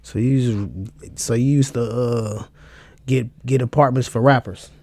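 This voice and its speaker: A man's voice: mid-range voice, fairly even intonation, measured pace, a little mellow. A man hosting a casual podcast, asking laid-back, slangy questions. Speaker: podcast host.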